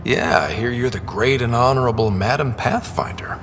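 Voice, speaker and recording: A man's voice: medium pitch, moderate pace, fairly bright tone, big-room sound, a little hiss or hum, natural voice. An actor playing a wry, easygoing young man in a video game. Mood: wry, teasing. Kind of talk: video game dialogue acting.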